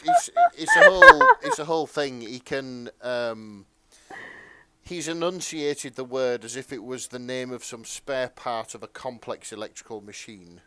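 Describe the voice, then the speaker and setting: A man co-hosts a casual podcast with extremely lively, swooping intonation at a middling pitch. He is amused and a little halting. There is clear noise on his recording. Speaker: podcast co-host. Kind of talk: casual chat. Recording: clearly noisy background with clicks.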